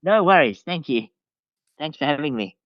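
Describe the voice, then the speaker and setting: A man chatting casually as a podcast guest, giving opinions in a halting way and repeating phrases. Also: a little high, expressive, no echo. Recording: dull, lo-fi voice track.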